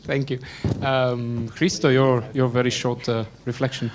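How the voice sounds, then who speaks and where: A man moderating a conference panel, briskly calling on speakers and explaining the next step. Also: mid-range voice, little pitch movement, noisy background. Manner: brisk, calls on speakers by name.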